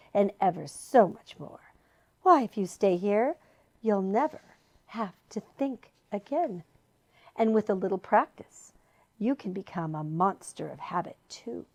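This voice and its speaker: Woman voicing a shrieking, whispering petty demon. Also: mid-range voice. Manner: shrieking, then soft deceitful whisper. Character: scheming male demon of petty tasks.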